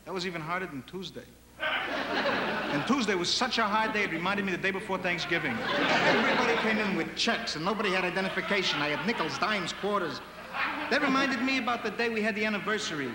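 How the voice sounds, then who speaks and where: A befuddled, indignant would-be robber in a comedy sketch. He rambles quickly in a high voice. The echoey old theatre recording is very noisy. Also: lively intonation, a loud crowd. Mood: rambling, mock-weary. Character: hapless, befuddled bearded would-be robber, easily riled.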